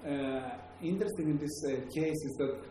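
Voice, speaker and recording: A man's voice: a little high, normal speed. A man with a Greek accent giving an academic talk to a live audience, hesitant, with many fillers and restarts. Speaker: lecturer. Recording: very echoey room, faint background noise.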